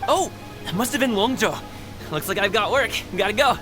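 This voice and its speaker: A man's voice: high voice, expressive, brisk, over music. An actor playing an easygoing, friendly young male courier in a video game, breezy and upbeat.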